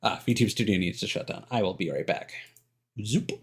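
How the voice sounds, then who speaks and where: A man's voice: fairly low pitch, normal speed, breathy edge. A man doing casual, rambling live stream commentary on a game, thinking aloud in hesitant fragments.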